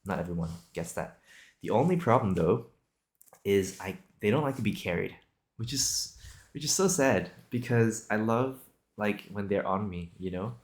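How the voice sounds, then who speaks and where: A young man with a deep voice and a lot of vocal fry talks casually to his podcast audience. He improvises as he goes, hesitating and circling back as he thinks out loud. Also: lively intonation, moderate pace. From Singapore and Australia. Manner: rambling, hesitant, thinking aloud. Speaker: video podcast host. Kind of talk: casual improvised solo chat.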